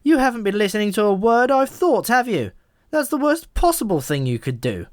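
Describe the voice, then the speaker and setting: A man's voice: high voice, big pitch swings, fairly quick, no room sound, studio quality, voice pushed up. Man voicing a wry, knowing talking pigeon. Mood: exasperated. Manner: wry, explanatory, emphatic. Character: talking pigeon, knowing, chatty, easily exasperated explainer.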